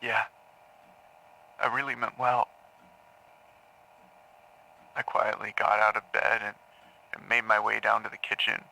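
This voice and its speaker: A man playing a troubled patient in an audio drama, haltingly confessing dark memories to a therapist, stammering and repeating words. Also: fairly low pitch, level tone, gravelly, exaggerated voice, low-quality recording. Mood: subdued, hesitant. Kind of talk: audio drama acting, intimate confessional monologue.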